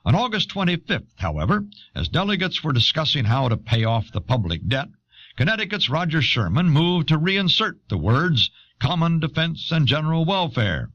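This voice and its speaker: A man reading scripted historical narration in a measured, authoritative manner. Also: on the high side, big pitch swings, a bit bright, dry recording. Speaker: documentary narrator. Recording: dull old audio cassette.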